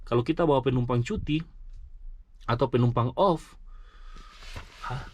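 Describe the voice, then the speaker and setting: A man's voice: mid-range voice, a little slow, darkish tone, no room sound. A man presenting a vehicle walkaround vlog, explaining things enthusiastically and speaking directly to his viewers. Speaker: vlogger, mine vehicle driver. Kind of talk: vlog truck walkaround and review.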